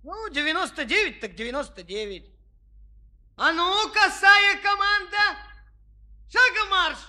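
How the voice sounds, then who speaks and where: An actor playing a cheerful, cocky young peasant lad in a children's audio play, jauntily calling out commands. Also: male voice, very high-pitched, lively intonation, unhurried, pushed and bright, full character voice. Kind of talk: children's fairy-tale audio play acting. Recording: music behind the voice.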